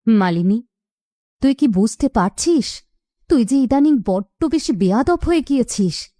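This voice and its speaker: Woman acting a soft-toned, careworn widowed mother. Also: a little high, animated, unhurried, no echo, studio quality. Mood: reproachful. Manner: plaintive, careworn. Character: weary, pleading widowed village mother. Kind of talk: cartoon voice acting.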